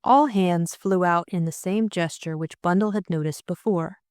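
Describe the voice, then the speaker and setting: Woman, audiobook narrator, scripted story reading, lower pitch, very dry recording. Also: normal speed, darkish tone, no room sound.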